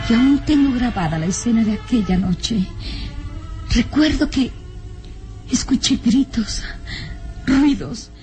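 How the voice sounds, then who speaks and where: A grieving young heroine of a radio melodrama, with a high but dark, soft tone. She speaks very slowly and mournfully over faint music. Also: low-quality recording. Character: sorrowful young daughter mourning her mother. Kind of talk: classic radio drama acting.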